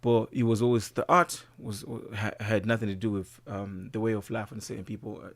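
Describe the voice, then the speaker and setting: A man's voice: fairly low pitch, steady tone, a little fast, creaky. A man talking casually in an interview as a musician, laid-back, full of slang and fillers. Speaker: guest musician.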